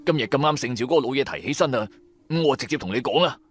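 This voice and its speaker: A man's voice: medium pitch, animated, fast, breathy edge, no echo, soft background music. A man voicing a loyal, scatterbrained young sidekick in a radio drama, colloquial, hesitant and confiding. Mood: hesitant, earnest. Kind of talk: radio drama storytelling dialogue.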